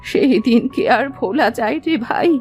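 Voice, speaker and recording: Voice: medium pitch, a little slow, soft background music, close to an everyday voice. A woman voicing a caring, fretful old village grandmother in a cartoon story, coaxing and affectionate. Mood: affectionate, welcoming.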